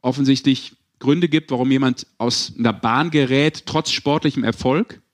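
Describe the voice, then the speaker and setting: A man's voice: mid-range voice, moderate pace. A man hosting a casual sports podcast, chatting and teasing among colleagues. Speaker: podcast host.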